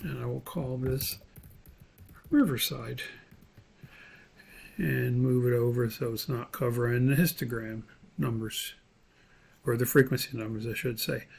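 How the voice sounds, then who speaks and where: A man explaining things informally as an instructor in an unscripted screen-share tutorial, pausing often as he goes. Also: mid-range voice, vocal fry, darkish tone, slight background noise. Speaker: course instructor. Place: indoors, voice-over for screen recording.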